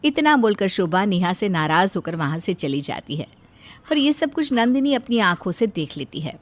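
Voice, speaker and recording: A woman's voice: medium pitch, big pitch swings, normal speed. A woman narrator reading a scripted cartoon tale in storytelling style.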